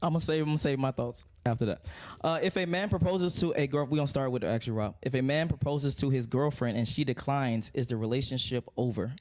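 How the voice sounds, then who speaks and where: A man's voice: mid-range voice, quick pace, few pauses, a little mellow. A man hosting a casual panel discussion, talking in an informal, slangy way with some swearing. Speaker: podcast host.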